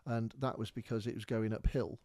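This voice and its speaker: A man's voice: lowish voice, steady tone, fairly quick, warm tone, no room sound, clean studio sound. A man from North Wales, telling a rambling, casual story as a podcast host while pointing things out on maps. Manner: chatty, rambling, with many fillers and restarts.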